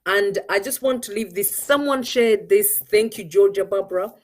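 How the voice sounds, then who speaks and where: A woman's voice: medium pitch, moderate pace. A middle-aged woman with a Ugandan accent gives an earnest motivational talk as host, switching between English and Luganda. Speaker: show host.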